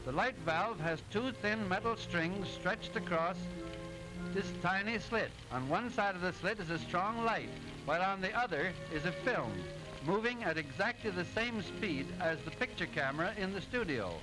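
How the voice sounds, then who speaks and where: A genial cartoon professor lectures in a high voice. He explains precisely and didactically, in the manner of 1920s educational film narration. Music plays behind him on an old soundtrack. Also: lively intonation, normal speed, low-quality recording. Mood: explaining.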